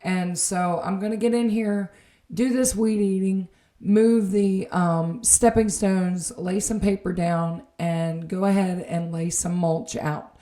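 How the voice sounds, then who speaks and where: Tired, slightly raspy woman in an echoey room. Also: medium pitch, steady tone, slow pace, warm tone. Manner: rambling, many ums, self-correcting. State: tired, late at night with allergies. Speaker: home and garden vlogger. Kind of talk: late-night voiceover, casual chat. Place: indoor room at home, voiceover.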